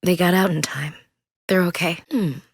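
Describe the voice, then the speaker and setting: A woman's voice: on the low side, fairly even intonation, normal speed, husky, no echo. An actress playing a tough young female marine soldier in a video game, blunt and soldierly with dry wit. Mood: steady. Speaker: squad soldier.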